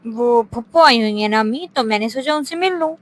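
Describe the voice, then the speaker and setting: A woman playing a polite, earnest young family member in an animated moral story, speaking in a gently reasoning manner. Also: on the high side, brisk, background noise, natural voice.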